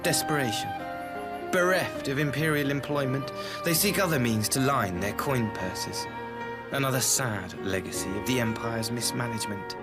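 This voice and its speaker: An actor playing an earnest, diplomatic imperial idealist in a video game, speaking formally and eloquently in measured phrases. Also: male voice, medium pitch, loud music behind the voice, natural voice. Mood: calm, reassuring. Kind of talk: video game cutscene acting.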